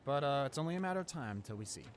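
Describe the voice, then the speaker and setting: A man playing a friendly, awkward, talkative high school student in a casual audio roleplay, speaking in a hesitant, rambling, informal way. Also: expressive, racing, a little hiss or hum, lightly acted.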